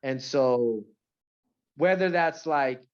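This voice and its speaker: A man from Mexico and Central California, a rapper, chatting casually in an interview with a halting, repetitive delivery full of filler phrases. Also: medium pitch, smooth, muffled recording. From born in Mexico, grew up in Central California. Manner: halting, repeats words, frequent "you know" and "like".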